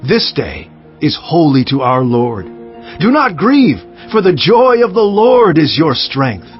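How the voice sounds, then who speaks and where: A man's voice: fairly high pitch, big pitch swings, slow pace. A man playing a commanding biblical governor who rallies his people in a declamatory dramatized scripture reading. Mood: exhorting, uplifting. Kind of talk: dramatized audiobook scripture acting. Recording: dull lo-fi audio with music behind.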